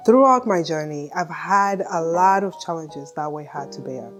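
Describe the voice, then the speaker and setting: A woman reading a scripted sponsor message in a smooth, even way. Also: low-pitched, moderate pace. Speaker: podcast host reading a sponsor ad. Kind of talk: scripted ad read. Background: music.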